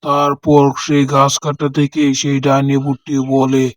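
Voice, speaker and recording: A man's voice: medium pitch, monotone, measured pace, no echo. A man reading a scripted children's story as its narrator.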